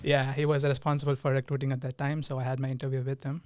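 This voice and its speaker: A young man from India, a podcast guest, answering in casual chat with pauses and frequent laughs. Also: medium pitch, completely flat delivery, darkish tone, clear, resonant tone, no echo, studio quality. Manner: hesitant, laughs often. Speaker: guest, team lead.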